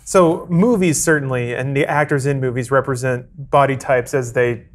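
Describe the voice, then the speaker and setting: A man's voice: mid-range voice, steady tone, moderate pace. A man hosting a casual podcast chat, rambling through stories and reading out viewer comments. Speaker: podcast host.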